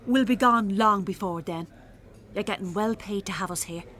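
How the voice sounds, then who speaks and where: A woman with an Irish accent playing a weary, sharp-tongued working-class mother in a radio drama, wry and blunt. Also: mid-range voice, moderate pace, lightly acted. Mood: defiant, scornful. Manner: wry, blunt, earthy talk. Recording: clearly noisy, traffic and ambience behind.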